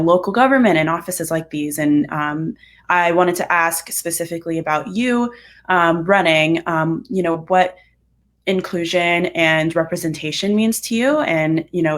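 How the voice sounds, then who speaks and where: Low-voiced young woman interviewer, halting. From Dayton area, Ohio, USA. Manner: halting, heavy on fillers. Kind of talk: interview questions.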